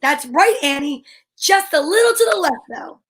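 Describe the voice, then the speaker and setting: A woman's voice: high-pitched, lively intonation, moderate pace, fairly bright tone. A woman playing an earnest, helpful country boy, folksy and agreeable, in old-time radio drama style.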